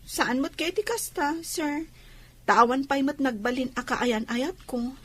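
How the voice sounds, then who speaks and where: A woman with a Filipino accent acting a reserved, dutiful young woman in a radio drama, deferential and hesitant, slightly husky. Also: high voice, measured pace, fairly bright tone. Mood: guarded.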